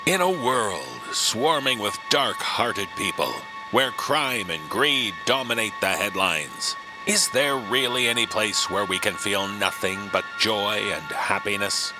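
A man playing a suave, ominous old-time radio horror announcer, theatrical and deliberate in his delivery. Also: fairly low pitch, expressive, low-quality recording. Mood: showy, sly. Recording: music behind the voice.

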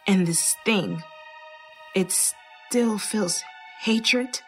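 A woman's voice: medium pitch, very slow. A woman acting an uneasy, questioning woman in an old-time radio horror drama, hesitant in her delivery. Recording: music under the voice. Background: music.

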